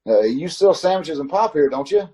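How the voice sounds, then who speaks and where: An actor playing an uneasy, haunted male motorist in an old-time radio suspense drama, halting and nervous. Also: on the high side, lively intonation, dark tone, husky. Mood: nervous, faltering. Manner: halting and nervous, stammering with strangers. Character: haunted, uneasy male motorist narrating his nightmare drive.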